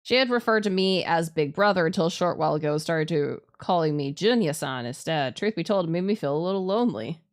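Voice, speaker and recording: A woman's voice: fairly low pitch, expressive, a little fast, few pauses. A woman streamer playing a visual novel live, reading the narration aloud and adding playful, casually sweary asides. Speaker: streamer, virtual YouTuber.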